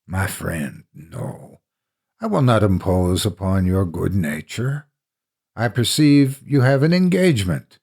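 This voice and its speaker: A man playing a cunning, vengeful gentleman in a gothic horror story, speaking with deliberate, flattering courtesy. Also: sits low, gravelly, breathy edge, heavily acted. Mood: feigned reluctance. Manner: flattering, deliberate, falsely reluctant.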